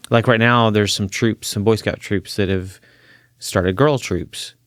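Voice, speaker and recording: A man in his forties who grew up in Chile, a counseling professor talking as a podcast guest, earnest and animated, laughing easily. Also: on the low side, measured pace, no echo, clean studio sound. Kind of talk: interview conversation. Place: podcast studio.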